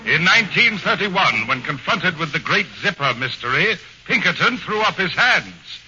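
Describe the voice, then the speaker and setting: A man's voice: sits high, big pitch swings, moderate pace, over music. A man narrating a 1940s radio comedy sketch in a grandiose, melodramatic manner. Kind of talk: mock-dramatic radio narration. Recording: dull old radio broadcast, faint hiss.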